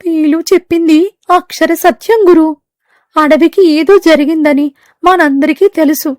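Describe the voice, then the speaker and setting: A woman plays an old stork elder from an animated fable, grave and measured, with a high voice. The sound is close and dry. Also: dry recording, natural voice.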